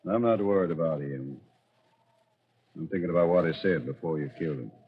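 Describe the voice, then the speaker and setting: Man acting a deep-voiced, blunt captive driver. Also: a little fast, lightly acted. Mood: uneasy. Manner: blunt, protesting. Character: blunt, nervous captive driver, talkative and indignant. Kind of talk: 1940s radio acting. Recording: muffled, noisy old radio recording.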